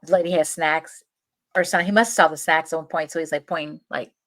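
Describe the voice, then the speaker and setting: A woman's voice: deep voice, steady tone, quick pace, a little hiss or hum. A young woman co-hosting a podcast, telling stories in a chatty, laughing way. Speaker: podcast co-host. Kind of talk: casual chat.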